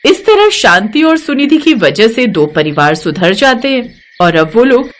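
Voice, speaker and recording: Animated, high-pitched woman storyteller. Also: unhurried, a little hiss or hum. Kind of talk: scripted moral-story narration.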